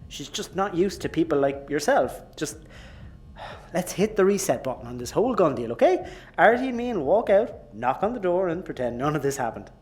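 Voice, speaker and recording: An animated, cheeky Irish chancer. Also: male voice, fairly high pitch, fairly quick. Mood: placating. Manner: chatty, flustered bluffing. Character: cheeky, bumbling young male would-be gun smuggler. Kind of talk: audio drama acting.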